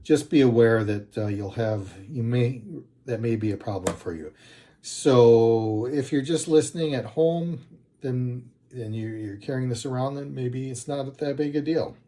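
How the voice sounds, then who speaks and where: A man talks through a product review in a relaxed, mellow voice at a slow pace. He sounds unscripted and plain-spoken, often hesitating and rambling as he works out his points. His pitch sits in the middle for a man. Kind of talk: casual unscripted video review.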